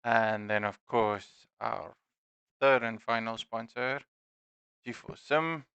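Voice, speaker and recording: Middle-aged man, Dutch accent, relaxed sim-racing stream host, bright clear voice, dry, slightly dull headset sound. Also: medium pitch, dry recording. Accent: Dutch-accented English. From South Africa. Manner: self-deprecating, unhurried, many fillers. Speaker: stream host and league admin. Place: home room at a desk. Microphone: gaming headset.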